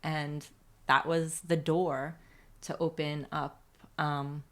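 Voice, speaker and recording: A woman in her forties hosting a podcast, speaking in a soft, reflective way with many pauses. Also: sits low, little pitch movement. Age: 40s. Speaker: podcast host. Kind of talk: casual faith-based chat.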